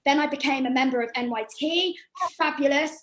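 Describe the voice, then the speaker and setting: A woman's voice: high voice, a little fast, a bit bright. A young woman from England, chatty and self-deprecating as she takes part in an acting workshop over a video call. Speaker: workshop participant. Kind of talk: casual chat.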